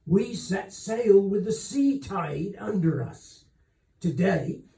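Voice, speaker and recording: A man reading aloud puts on a whiskered old-fashioned sea captain from a storybook, courteous yet given to bellowing. His rustic nautical speech ranges widely in pitch and comes through echoey, far from the mic. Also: gravelly, dark tone, heavily acted. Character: bellowing, bewhiskered sea captain, gentle and apologetic between shouts.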